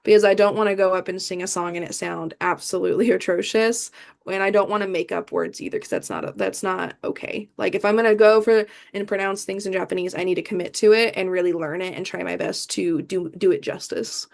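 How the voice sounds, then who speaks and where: A woman's voice: mid-range voice, fairly even intonation, a little fast, gravelly. A young woman from the American Midwest, answering interview questions in a chatty way, with many fillers and restarts. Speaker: idol performer, guest.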